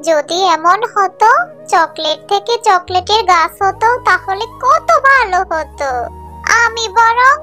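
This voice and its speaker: Earnest little cartoon village girl, extremely high and bright, slow delivery. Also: full character voice. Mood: wishful. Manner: childlike, earnest. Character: earnest little village girls, sweet-loving young sisters. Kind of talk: children's cartoon story acting. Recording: music under the voice.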